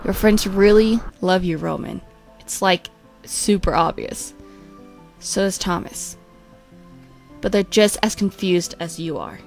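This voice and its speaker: A woman voicing a frank, world-weary male character in an amateur comic dub, blunt and halting as she explains. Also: mid-range voice, creaky, darkish tone, soft background music. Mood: gently candid.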